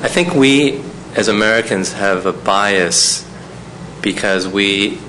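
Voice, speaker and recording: A man's voice: fairly low pitch, slow, background noise. Reflective man whose first language is Chinese. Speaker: panelist.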